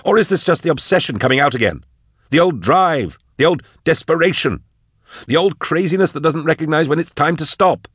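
A man voicing an outspoken, indignant male scholar who challenges and yells sarcastically in an audiobook story. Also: medium pitch, sing-song, normal speed, no room sound, studio quality, voice pushed up, close to an everyday voice. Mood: angry, sarcastic.

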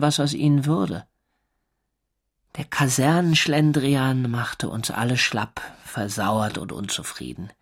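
An actor playing a navy sailor who recounts his wartime diary in measured, dryly observant literary narration for a radio drama. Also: mid-range voice. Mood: weary, observant.

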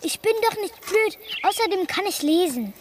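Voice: very high voice, lively intonation, moderate pace, background noise. A young performer playing a bossy, bratty child squabbling with a sibling in a radio drama, cheeky and taunting. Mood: challenging.

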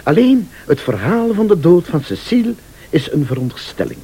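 An actor playing a shrewd, insistent accuser in a radio thriller, speaking formally and with deliberate insinuation. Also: male voice, fairly high pitch, huge pitch swings, warm tone, slightly rough, full character voice. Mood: accusing. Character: male late-night accuser, shrewd, insistent, laying out a murder plot. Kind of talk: Dutch-language radio thriller acting.

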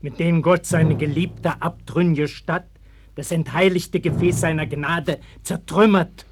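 A man playing a stern, compassionate prophet in a radio play, speaking in a solemn, measured, declamatory way. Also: a little high, fairly even intonation, a little hiss or hum. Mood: fervent, prophetic. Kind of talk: German radio play acting. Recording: early 1960s vinyl radio-play record.

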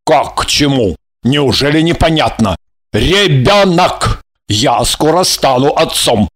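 An actor playing a young, earnest and exasperated lover in an audiobook drama, speaking emphatically. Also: male voice, mid-range voice, lively intonation. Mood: insistent, irritated. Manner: emphatic, drawn-out, pleading then threatening. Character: young earnest, honourable, exasperated male lover, soon a father.

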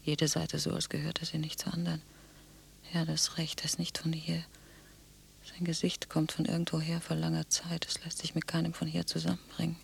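An actress playing an intense, possessively affectionate sister in a radio drama, effusive and repetitive, moving between husky whispers and cries. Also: female voice, low-pitched, monotone, brisk, slight background noise. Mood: hushed, brooding. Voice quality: breathy, husky, often whispering.

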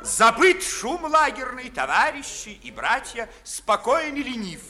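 A male actor playing a spirited, mocking young gentleman back from travels, in declamatory, ironic 1950s Russian radio verse acting. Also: extremely high pitch, big pitch swings, a little slow, exaggerated voice. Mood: teasing, hearty. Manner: declamatory, ironic, clearly articulated.

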